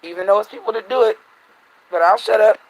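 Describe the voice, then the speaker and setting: A man vlogging at home at night, venting bluntly and profanely in a casual monologue, his voice a little raspy. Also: high-pitched, fast talker, rough recording.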